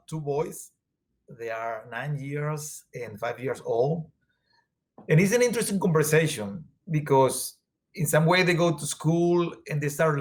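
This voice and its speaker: A man from Venezuela whose first language is Spanish, speaking in a Venezuelan accent in an earnest, halting way with many fillers as an interview guest. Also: fairly high pitch. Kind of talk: conversational interview.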